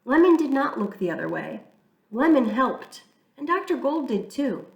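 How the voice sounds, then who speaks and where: A woman reading a children's picture book aloud in an expressive, storytelling way. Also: sits high, normal speed. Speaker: church reader. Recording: echoey room, mic far off.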